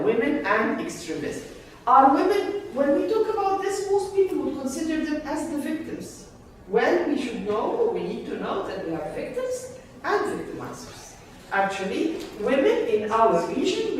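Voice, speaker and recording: A woman professor from Egypt giving an earnest public talk, pausing often with fillers and restarts. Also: on the high side. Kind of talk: public talk to a seated audience. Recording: echoey room voice from far off, faint ambience.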